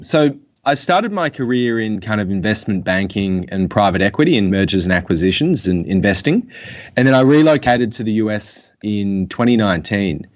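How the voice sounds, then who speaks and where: A man with an Australian accent, a guest on a business interview calling in remotely, talking in long relaxed runs with many fillers. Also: lowish voice, highs cut off. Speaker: guest, acquisitions executive. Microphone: remote call.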